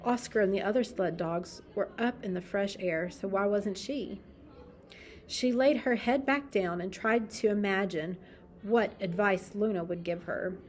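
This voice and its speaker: Woman, children's story read-aloud, slow pace, mid-range pitch, expressive, deliberate emphasis. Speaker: storybook reader.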